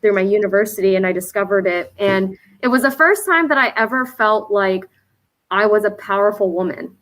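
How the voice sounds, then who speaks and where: A woman from the United States whose first language was Vietnamese, a brand founder answering interview questions in an earnest, reflective way with soft fillers. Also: mid-range voice, a little fast.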